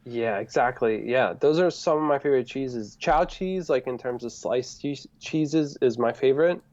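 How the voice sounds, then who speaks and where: Male guest, US-raised, grew up speaking Greek at home; relaxed, rambling live-stream talker, slightly muffled audio. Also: medium pitch, normal speed. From United States. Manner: relaxed, rambling, laughs easily. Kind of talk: casual live-stream chat.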